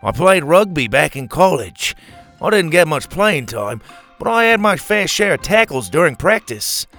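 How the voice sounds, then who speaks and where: A man acts out a goofy storybook professor. The voice is pitched up from an ordinary male register, with a theatrical, comic delivery. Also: sing-song, normal speed, fairly bright tone, faint music behind. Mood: blustering bravado. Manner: blustering, theatrical. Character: blustering, goofy male dinosaur teacher, adult.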